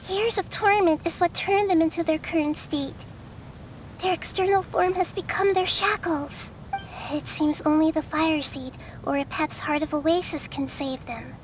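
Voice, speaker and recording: A woman voicing a small, wise child goddess in a video game, gentle, earnest and composed. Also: extremely high pitch, steady tone, unhurried, soft background music, rough recording. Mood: concerned, thoughtful.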